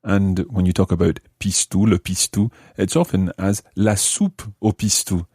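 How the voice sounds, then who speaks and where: A man hosting a language lesson, explaining phrases in a measured way and repeating them for learners. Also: sits low, steady tone, a little mellow, breathy edge, dry recording, studio quality. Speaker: language teacher and podcast host. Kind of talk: lesson explanation, switching between English and French.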